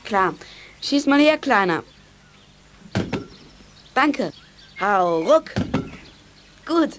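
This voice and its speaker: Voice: mid-range voice, big pitch swings, very slow, noisy background. A performer playing a decisive, cheeky child who leads young detectives in a children's radio play. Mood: curious, confident. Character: bossy, self-assured child leader of young detectives.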